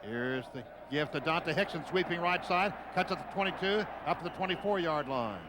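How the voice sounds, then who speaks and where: A man's voice: fairly high pitch, expressive, moderate pace. An American man doing live radio football play-by-play in clipped phrases, calling the action as it happens. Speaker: play-by-play announcer. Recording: radio broadcast over clear stadium noise. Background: stadium noise.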